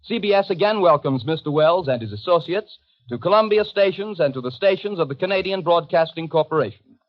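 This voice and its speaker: A man's voice: fairly high pitch, lively intonation, a little fast. A man announcing a 1930s radio program in a formal, declamatory style. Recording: muffled old 1930s radio broadcast.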